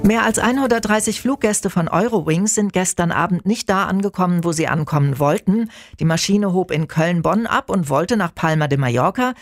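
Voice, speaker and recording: A man reading the morning radio news in a measured, formal manner. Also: sits high, expressive, dry recording, studio quality, faint music behind. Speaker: news anchor. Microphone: studio microphone.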